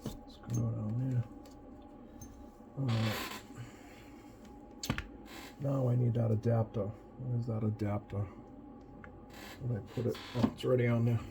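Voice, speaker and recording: A man's voice: medium pitch, little pitch movement, measured pace, long pauses. A man thinking aloud in casual hands-on commentary, muttering to himself as he tinkers. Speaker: radio hobbyist doing bench tests. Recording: noisy, with clear background noise.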